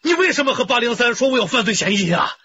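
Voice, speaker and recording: Casting: a fretful older farmer, very husky and bright, stammering, lo-fi radio sound. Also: male voice, extremely high pitch, big pitch swings, fast talker, full character voice. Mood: flustered. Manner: flustered, stammering. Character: anxious older village duck farmer, father. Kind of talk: radio drama acting. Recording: dull lo-fi radio play audio.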